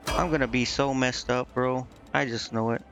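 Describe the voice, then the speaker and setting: A man's voice: medium pitch, steady tone, moderate pace. A man streaming a game, muttering indecisively to himself in casual commentary as he thinks aloud. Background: game music.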